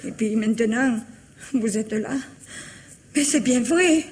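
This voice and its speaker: A woman's voice: a little high, slow, a little mellow. A woman acting an anxious, evasive aunt in her seventies in a French radio mystery, hesitant and plaintive. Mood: plaintive, confessing. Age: older. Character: anxious, evasive 73-year-old aunt, a widowly homebody.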